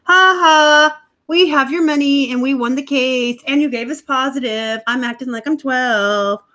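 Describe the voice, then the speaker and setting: A woman in her thirties talks into a laptop at home during a live stream, chatting loosely with viewers. Her voice sits a little high. She sounds snarky and laughs often. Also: unhurried, sharp tone. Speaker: livestream host, online reseller. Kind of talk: casual live-stream chat reading comments.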